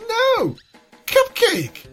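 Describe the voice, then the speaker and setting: A woman voicing an excitable, distraught pink cartoon mare in a dramatic story, wailing and pleading. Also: very high-pitched, extremely slow, soft background music, pitched above the performer's own voice, exaggerated voice. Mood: anguished wailing.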